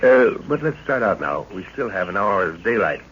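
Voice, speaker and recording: A man's voice: on the low side, very animated, moderate pace, a bit bright. An actor playing an older, absent-minded professor and father in an old radio adventure serial, exclaiming and muttering to himself in an avuncular way. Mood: knowing. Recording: muffled, noisy old-time radio recording.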